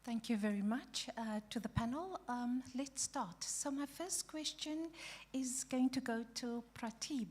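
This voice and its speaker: A woman chairing a conference panel, speaking haltingly with many restarts and fillers. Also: a little high, darkish tone. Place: conference hall.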